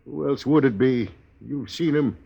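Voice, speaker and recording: An actor playing an angry, accusing wounded stagecoach driver in a 1950s radio western, blunt and insistent in folksy speech. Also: male voice, mid-range voice, measured pace, creaky, husky. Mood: bitter, accusing. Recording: dull, noisy old radio recording.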